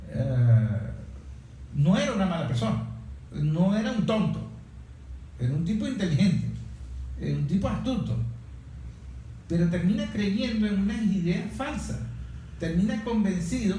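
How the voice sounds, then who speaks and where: Echoey, higher-pitched man interviewing. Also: dark tone. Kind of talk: political discussion, reflective and argumentative. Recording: very echoey room, distant mic, faint noise.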